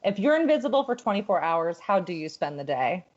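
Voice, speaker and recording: A woman's voice: lowish voice, sing-song. Playful woman hosting over a muffled call. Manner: playful teasing, quick laughs. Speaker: host of a live dating game show. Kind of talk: upbeat game-show hosting. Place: home at her parents' house. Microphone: video call.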